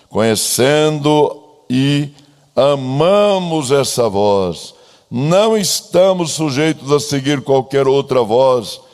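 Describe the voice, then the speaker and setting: A man with a Brazilian accent preaching as a pastor, solemn and deliberate, with emphatic phrasing. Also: medium pitch, darkish tone. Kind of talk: church sermon with a read-out letter. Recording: long echoing hall reverb.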